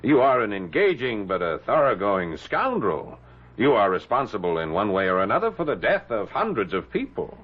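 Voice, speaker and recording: An actor playing a sly, witty gentleman sage of colonial America in a radio drama, speaking in a measured, mock-solemn way. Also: on the low side, very animated, slightly rough. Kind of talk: 1970s radio drama acting. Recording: dull lo-fi vintage radio recording.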